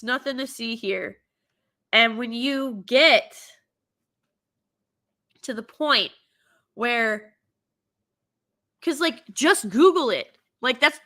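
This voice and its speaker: A woman hosting her own commentary show, her voice stuffy from a cold. Her tone is bright and cutting, sitting a little above the usual pitch. She is animated and opinionated, slipping into mocking impressions as she goes. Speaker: show host.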